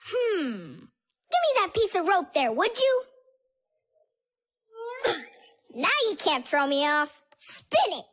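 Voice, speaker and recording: A woman voicing a stubborn, excitable little boy creature in a cartoon, eager and boastful. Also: high-pitched, slow, long pauses, faint music behind, exaggerated voice. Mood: excited. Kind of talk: animated cartoon voice acting.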